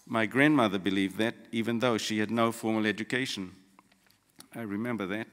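Measured man speaking in a reverberant hall. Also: lowish voice, steady tone, a bit bright. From Fiji. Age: middle-aged. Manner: measured, deliberate, short phrases with pauses. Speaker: graduation guest speaker, lawyer. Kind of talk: prepared formal ceremony address, read from notes. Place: large auditorium on stage. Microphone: gooseneck podium microphone.

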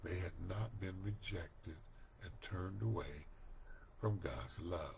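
An older man reading a Bible study lesson aloud from home, slow, deliberate and earnest, recorded on a webcam mic. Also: sits low, darkish tone, slight background noise. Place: home room, indoors. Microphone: webcam at desk.

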